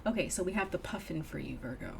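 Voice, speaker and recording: A woman with a low voice talks through a tarot reading in a loose, chatty way, full of ums and you knows. She sounds a little nasal and sniffly from a cold. The recording carries clear background noise. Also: animated, fast talker. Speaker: tarot reader. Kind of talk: casual tarot reading to camera.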